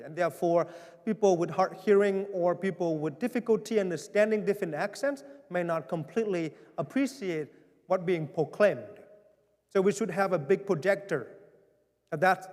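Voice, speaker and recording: Man, Vietnamese accent, parish pastor, high voice, earnest and wry, long church reverb. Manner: earnest, wry, pleading, with gentle humor. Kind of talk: town hall address to parishioners. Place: large church hall.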